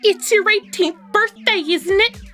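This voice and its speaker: A woman voicing an exasperated female alien clerk in a scripted audio comedy, brisk and theatrical. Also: very high voice, pushed and bright, soft background music, full character voice. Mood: brisk, matter-of-fact.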